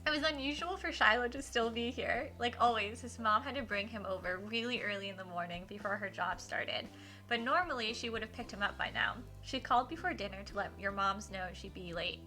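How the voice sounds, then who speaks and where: A woman with a fairly high, bright voice hosts a game livestream. She moves between informal, giggly asides and animated reading of the story. Light music plays behind her. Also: brisk. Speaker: livestreamer hosting a visual novel playthrough. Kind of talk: casual livestream chat mixed with expressive read-aloud.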